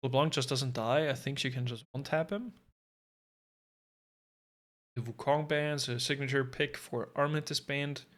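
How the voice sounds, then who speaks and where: A man's voice: medium pitch, steady tone, moderate pace, pauses often, fairly bright tone. A man giving casual live commentary on an esports match from his home setup, thinking aloud and adding self-deprecating asides. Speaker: esports co-streaming caster.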